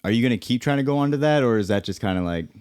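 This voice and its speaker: A man's voice: mid-range voice, expressive, rapid-fire, a little mellow, clear, resonant tone. A young man hosting a casual chat who asks questions and teases bluntly. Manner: blunt, teasing.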